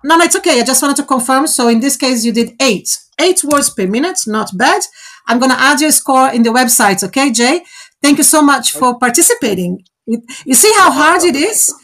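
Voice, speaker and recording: A woman with a somewhat high voice, whose first language is Portuguese, runs a live online speaking exercise. She coaches and encourages, checks in constantly with short "okay?" and "all right?" tags, and talks with a warm, supportive energy. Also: expressive, fairly quick. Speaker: host running a fluency exercise.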